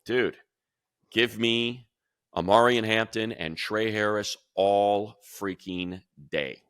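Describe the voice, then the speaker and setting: A man talking football in a casual sports podcast chat from a room at home, rambling with restarts and growing animated when he compares players. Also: fairly low pitch, takes it slowly, smooth, dry recording, studio quality. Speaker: radio play-by-play announcer.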